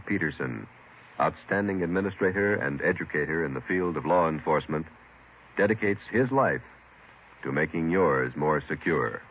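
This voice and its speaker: Deep, solemn American radio announcer, male. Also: slight background noise. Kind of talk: formal 1950s radio announcing. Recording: narrow-band old radio recording.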